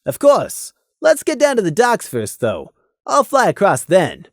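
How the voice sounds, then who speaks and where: A man's voice: sits high, wildly swooping pitch, a little slow, no room sound, clean studio sound, pitched above the performer's own voice, exaggerated voice. Man as a lifted-voice, level-headed pony sergeant. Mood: agreeable. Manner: reasoning, reassuring.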